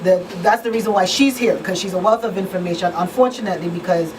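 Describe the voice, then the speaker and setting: A woman's voice: on the low side, fast. A woman hosting a talk show in a conversational style. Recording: distant mic, clearly noisy room.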